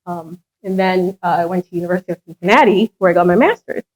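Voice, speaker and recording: A woman's voice: lowish voice, fast, a little mellow, highs cut off. Middle-aged woman telling a heartfelt story. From Ghana, raised in France. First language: French. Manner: earnest storytelling with light self-deprecating humor. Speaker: head of a charitable foundation. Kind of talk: public speech at a meet and greet.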